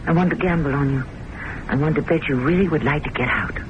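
An actress playing a weary, lovelorn secretary in an old-time radio drama, intense and wistful in manner. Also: female voice, low-pitched, quick pace, lightly acted. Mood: urgent, coaxing. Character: weary, lovelorn confidential secretary, a distrustful woman patient. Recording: muffled, noisy old radio recording.

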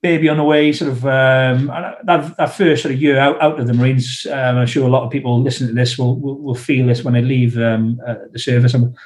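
A man's voice: mid-range voice, steady tone, a little fast, hardly any pauses, a little mellow. A man with a South Welsh accent and a slight cough, talking over a video call as an interview guest, hesitant and full of restarts. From South Wales. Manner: hesitant, many restarts and fillers. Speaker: guest, ex-Royal Marine.

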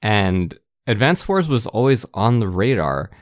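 A man's voice: fairly low pitch, very animated, measured pace, no echo, clean studio sound. A man co-hosting a gaming podcast in casual, informal chat with a wry way of talking. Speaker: podcast co-host. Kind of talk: casual podcast chat about video games.

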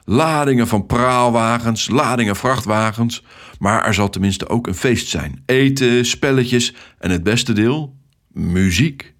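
A man's voice: medium pitch, unhurried, pitched above the performer's own voice, close to an everyday voice. A man voicing a friendly, chatty office boss in a children's story, teasing and enthusiastic. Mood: teasing, excited.

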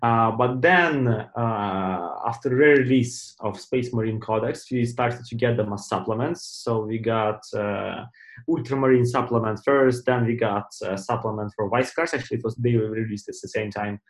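A man whose first language is Russian, co-hosting a casual podcast, excitable and often stammering and repeating words. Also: medium pitch, moderate pace. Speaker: podcast co-host.

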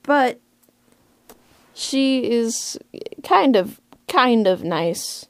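A woman's voice: fairly high pitch, lively intonation, very slow, dry recording. Casual woman chatting in a dry, close recording. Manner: hesitant, piecing thoughts together as they go. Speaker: voice actor being interviewed about their role. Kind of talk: casual interview chat.

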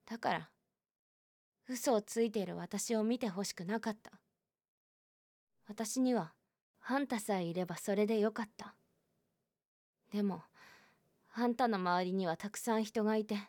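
A woman playing a stubborn, lonely high school girl who hides her weakness, speaking in a quiet, confessional voice in a voice drama. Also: normal speed, pauses often, no room sound, clean studio sound, natural voice. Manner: quiet, confessional, rising to anguished outbursts. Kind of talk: voice drama acting.

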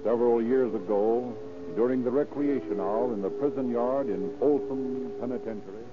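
A man's voice: medium pitch, normal speed. A man working as announcer and narrator in formal, emphatic 1930s radio style, reading scripted copy. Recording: muffled old radio recording with music.

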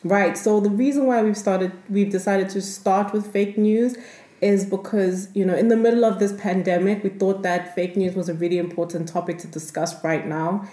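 Woman podcast host, mellow voice. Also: mid-range voice, normal speed. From South Africa. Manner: relaxed and explanatory, with frequent fillers. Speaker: podcast co-host, law researcher. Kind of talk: conversational podcast explanation. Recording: echoey room.

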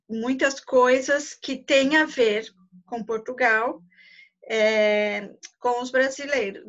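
A woman's voice: fairly high pitch, a bit bright. A woman from Brazil with a Brazilian accent, a tour guide answering conversationally in an interview. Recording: dull, muffled lo-fi audio.

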